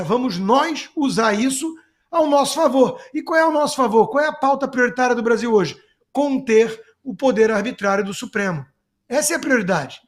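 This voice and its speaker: High-pitched Brazilian man, sarcastic commentator. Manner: sarcastic, emphatic, colloquial. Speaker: political commentator. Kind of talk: opinionated political commentary, spoken off the cuff. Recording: music playing behind the voice. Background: music.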